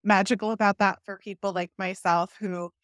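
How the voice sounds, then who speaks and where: Energetic woman podcast host. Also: medium pitch, normal speed, no room sound, studio quality. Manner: energetic, enthusiastic, affirming. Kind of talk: casual interview talk.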